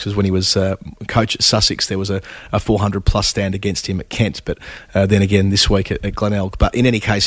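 A man, hoarse and raspy from a cold, hosting a casual cricket chat full of scores and restarts. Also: on the low side, dry recording. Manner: stat-heavy, with frequent fillers and restarts. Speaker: cricket podcast host.

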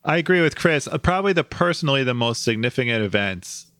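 A man's voice: medium pitch, expressive, quick pace, fairly bright tone, no echo. A man in his forties in a casual podcast chat, joking wryly with mock indignation. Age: 40s. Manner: wry, dry humor with mock indignation. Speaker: music writer on a podcast.

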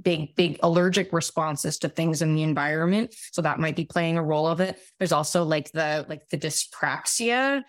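Woman, 30s, low raspy voice, guest doctor on a podcast, chatty explainer with restarts, dry close sound. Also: moderate pace, few pauses, no echo. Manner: explains at length with many fillers and restarts. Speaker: guest physician. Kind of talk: casual podcast conversation.

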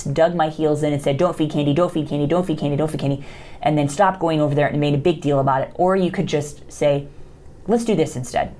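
A woman's voice: low voice, a little mellow. A woman, hosting a podcast and casually answering listener questions, with lots of fillers and restarts. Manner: conversational, many fillers and restarts. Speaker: podcast host.

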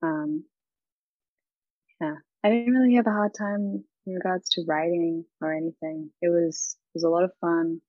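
Bubbly aussie girl rambling thru an interview, low-ish voice, dry dull lo-fi sound. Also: fairly even intonation, moderate pace, dry recording. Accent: Australian. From Australia. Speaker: singer.

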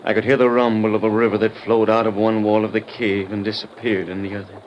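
A low-voiced actor as a grave army major who went undercover as a Western gunfighter. He reports in earnest, dramatic old radio style. The vintage recording has a noisy bed. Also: flat delivery, fast talker, highs cut off, natural voice.

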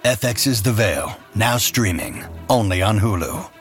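A man's voice: fairly low pitch, expressive, unhurried, a bit bright. Man reading a streaming ad over music. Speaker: ad announcer. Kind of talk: scripted ad read.

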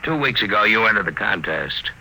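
Hard, forceful, curt racketeer. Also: male voice, lowish voice, sing-song, a little fast, creaky, exaggerated voice, rough recording. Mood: stern, threatening. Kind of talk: old-time radio drama acting. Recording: hissy vintage radio recording.

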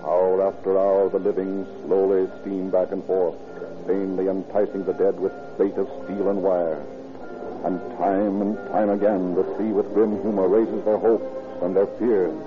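An actor narrating a radio crime drama in a florid, ominous, theatrical style. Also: male voice, deep voice, little pitch movement, measured pace. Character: grim poetic male narrator of a crime tale. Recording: muffled old radio recording, music behind.

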